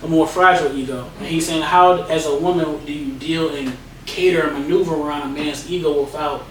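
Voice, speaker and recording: A man with a fairly high voice hosts a casual podcast, joking around and putting questions to his guests. He sounds far from the mic. The room carries a heavy echo. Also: fairly even intonation, fairly quick, a little hiss or hum. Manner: joking, asks questions, reads listener question. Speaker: podcast host.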